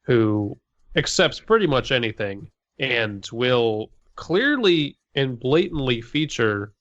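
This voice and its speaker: A man with an average-pitched voice gives his opinions as a podcast co-host. He is blunt and profane in an informal way. The recording is a little dull and lo-fi. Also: sing-song, slow, fairly bright tone. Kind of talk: casual opinionated discussion. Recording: slightly dull, lo-fi microphone sound.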